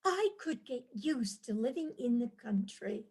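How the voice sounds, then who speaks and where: A woman's voice: a little high, measured pace, breathy edge, exaggerated voice. A woman playing a cheerful New York wife charmed by the countryside, chatty and dreamy, in a staged reading of a comic play. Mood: dreamy, reasoning. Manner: chatty, dreamy, easily excited.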